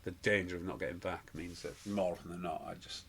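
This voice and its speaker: A man's voice: low voice, steady tone, a little fast, a bit bright, slight background noise. A middle-aged man, a pantomime performer telling stories in an interview, rambling with fillers and restarts. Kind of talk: casual interview chat.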